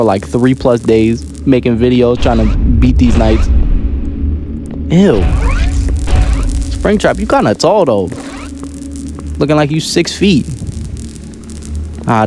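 A young man with a medium-pitched voice plays a horror game and comments as he goes. His talk is casual, slangy and exclamatory, full of joking asides. Music plays behind him. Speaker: gamer making videos.

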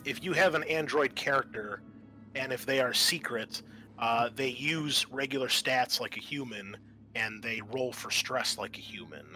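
A man running a tabletop roleplaying game as game master, narrating in a halting, improvised way. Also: mid-range voice, bright, forceful tone, rough recording. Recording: noticeable background noise.

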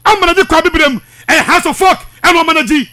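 A man's voice: very high voice, quick pace, bright, forceful tone. A man in his thirties with a Ghanaian accent giving heated sports commentary, emphatic, insistent and repetitive, mixing languages at a studio microphone. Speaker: sports radio presenter. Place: small radio studio. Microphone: studio microphone close up.

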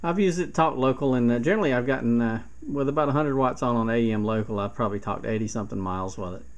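A man's voice: medium pitch, quick pace. A man giving an informal hands-on tutorial as a radio hobbyist, recorded indoors at a home bench. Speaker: hobbyist demonstrating CB radio gear. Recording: noticeable background hiss and radio static.

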